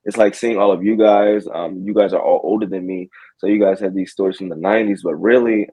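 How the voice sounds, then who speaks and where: A man's voice: lowish voice, fairly even intonation, brisk, mellow, husky, rough recording. A man in his early twenties, a guest on a casual podcast, talking in a laid-back way full of "like". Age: 20s. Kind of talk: casual interview chat.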